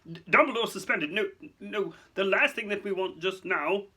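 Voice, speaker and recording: A man's voice: high voice, lively intonation, fairly quick, sharp tone, pitched above the performer's own voice, heavily acted. A man voicing a portly, anxious, self-important government minister in a clipped, flustered way for a storybook. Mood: alarmed.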